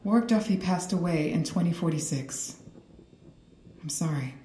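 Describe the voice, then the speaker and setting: An actress playing a cool, unyielding government interrogator in an audio drama, measured, dry and matter-of-fact. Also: female voice, lowish voice, warm tone, a little hiss or hum, close to an everyday voice. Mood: cool, factual. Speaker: actor in audio fiction. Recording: roomy echo, faint music underneath.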